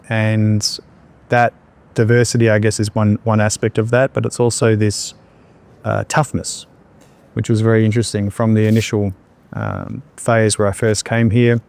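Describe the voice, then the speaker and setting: A man, answering interview questions in a reflective, unhurried way with pauses and fillers. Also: on the low side, flat delivery, vocal fry, a little mellow. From Australia. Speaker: entrepreneur guest.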